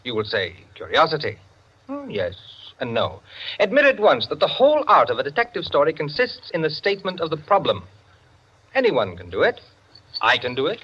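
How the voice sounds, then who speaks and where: An actor playing an opinionated, self-satisfied clubman who lectures his friends in theatrical 1940s radio style, with savored pauses. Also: fairly high pitch, huge pitch swings, slightly rough, heavily acted. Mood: smug, lecturing. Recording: dull, band-limited 1940s radio recording.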